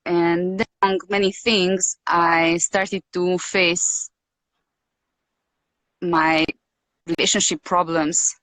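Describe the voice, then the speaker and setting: A woman with a Hungarian accent, raised in Romania, talks slowly through her thoughts as an interview guest. Her voice sits a little low and is recorded dry and close, with no room sound. She hesitates often, repeats words and searches for the right phrase. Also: level tone, fairly bright tone, dry recording, poor audio. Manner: hesitant, searching for words with many pauses and fillers. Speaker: podcast guest. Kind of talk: reflective interview talk.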